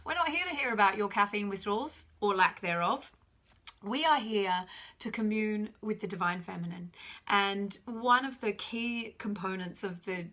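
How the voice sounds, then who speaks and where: Woman in her 30s, bit croaky, animated and chatty, bright tone, phone at arm's length. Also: medium pitch. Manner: animated, gestures a lot while talking; chatty and self-deprecating. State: slightly croaky voice. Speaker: spiritual teacher hosting a live stream. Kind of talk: casual live-stream talk. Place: home room indoors. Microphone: phone camera at arm's length.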